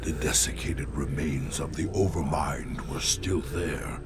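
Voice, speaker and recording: A man voicing a grim alien warrior, narrating in a measured, grave way. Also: very deep voice, heavy background noise. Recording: orchestral music behind.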